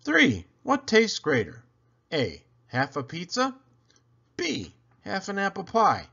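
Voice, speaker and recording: A man reading a picture book aloud in an animated storytelling style, with theatrical emphasis on questions and lists. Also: high voice, takes it slowly, vocal fry, slightly rough, lo-fi sound. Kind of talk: animated children's read-aloud narration.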